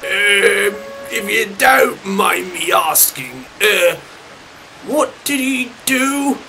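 A courteous, innocent engine character from a children's storybook, put on by a male narrator. He speaks hesitantly in a raised, lively voice over music. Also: high-pitched, very slow, voice pushed up, exaggerated voice. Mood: puzzled, polite. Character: polite, naive male engine, puzzled then offended.

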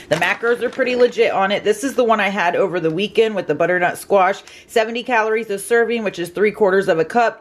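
A woman's voice: mid-range voice, a little hiss or hum. A young woman with an upbeat, chatty voice-over, walking through her weekly meal prep in a home kitchen. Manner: upbeat, chatty, lists macros and steps. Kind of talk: casual cooking voice-over.

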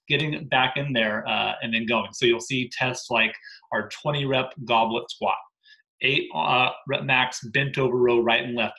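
A man in his thirties, a gym programming coach, briefing gym owners from a living room on his laptop webcam, emphatic and energetic with frequent "right?" asides. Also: mid-range voice, low-quality recording.

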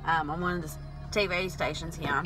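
A woman's voice: deep voice, fairly even intonation, brisk, over music. An Australian woman in her thirties chatting casually while driving, rambling with lots of fillers and casual swearing, recorded on a dashboard camera inside a car. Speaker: vlogger.

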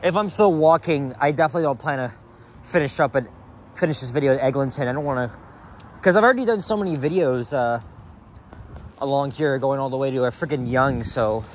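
A young man rambling casually as he vlogs on a walk outdoors with a handheld camera. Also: fairly high pitch. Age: young adult. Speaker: vlogger. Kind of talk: casual walking vlog. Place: outdoors on a city sidewalk. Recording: outdoor street traffic noise. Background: cars and street ambience.

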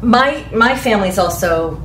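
A woman's voice: mid-range voice, animated, measured pace, fairly bright tone. Earnest woman in an echoey meeting room. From Ecuador. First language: Spanish. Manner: earnest, gestures while explaining. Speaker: real estate agent, guest speaker. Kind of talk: panel interview. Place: meeting room in front of a large screen. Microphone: far camera mic. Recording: echoey room from far off, faint noise.

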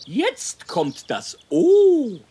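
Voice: mid-range voice, huge pitch swings, drawn-out words, dark tone, full character voice. An actor voicing a prim male gander schoolteacher who patiently instructs and corrects in a children's cartoon. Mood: instructive. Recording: birdsong noise throughout.

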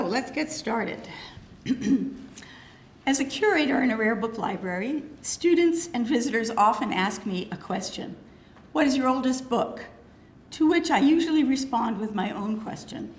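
A woman in her sixties with a gravelly, hoarse voice, giving a prepared lecture as a curator, speaking into a podium microphone with some fillers and pauses. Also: a little high. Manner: measured, slightly halting delivery with fillers. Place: lecture hall with wood paneling. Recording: roomy hall, faint ambience.

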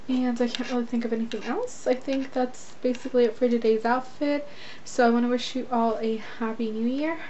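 Young American woman, high-pitched, chatting casually. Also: warm tone. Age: young adult. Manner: informal, rambling, frequent "like". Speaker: vlogger. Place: small rooms at home, closet and bedroom. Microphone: handheld camera at arm's length. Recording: dull, noisy handheld camera audio.

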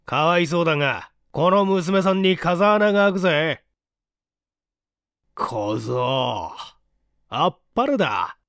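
A man voicing a sneering, menacing villain who taunts roughly in a dramatic story reading. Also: on the high side, animated, takes it slowly, pauses often, a bit bright, dry recording, studio quality, exaggerated voice. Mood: mocking, gloating. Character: sneering, menacing male villain in disguise as a professor.

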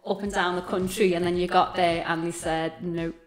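A woman's voice: fairly low pitch, level tone, moderate pace. A woman with an ordinary mid-range voice keeps a casual chat going, nodding along and prompting with short questions. The sound is roomy and echoey, and faint music plays behind her. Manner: agreeable, prompting with short backchannels. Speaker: co-host.

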